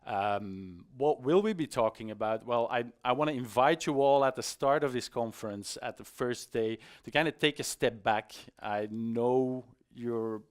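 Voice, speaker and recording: A middle-aged man giving an informal conference presentation in a conversational, self-deprecating, slightly halting way. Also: mid-range voice, clear, resonant tone. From Belgium. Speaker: conference speaker, iOS developer. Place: conference stage in a hall.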